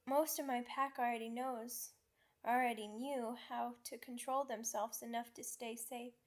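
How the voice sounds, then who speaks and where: A young werewolf pup girl, played by a woman in an ASMR roleplay, speaking very slowly in a fairly high voice. She is timid and sweet, with hesitant, childlike phrasing and small stammered repeats.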